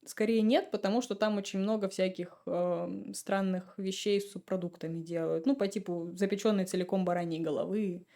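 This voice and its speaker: Woman chatting on a podcast, mellow voice, laid back and laughing. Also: mid-range voice, normal speed, vocal fry, hardly any pauses. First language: Russian. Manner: relaxed, chatty storytelling with laughs. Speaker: podcast guest. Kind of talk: casual chat.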